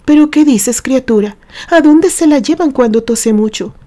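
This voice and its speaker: A woman voicing a folksy, gruff but kindhearted man who scolds children tenderly in an audio story. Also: high-pitched, normal speed, mellow, pitched above the performer's own voice, close to an everyday voice. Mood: concerned. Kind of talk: audio story character acting.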